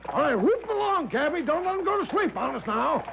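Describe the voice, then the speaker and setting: An actor playing a brash, overbearing retired American army major in a radio drama, grandiose, boastful and coaxing in manner. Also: male voice, very high voice, big pitch swings, a little fast, gravelly, background noise, full character voice. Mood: hurried, bossy. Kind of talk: theatrical radio drama acting. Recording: dull vintage radio recording with music.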